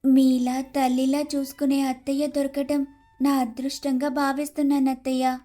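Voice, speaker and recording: Woman doing sweet young daughter-in-law in a story, high soft-toned voice, earnest and respectful. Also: level tone, moderate pace, soft background music, lightly acted. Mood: grateful. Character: eager, grateful, trusting young daughter-in-law. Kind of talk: scripted storybook acting.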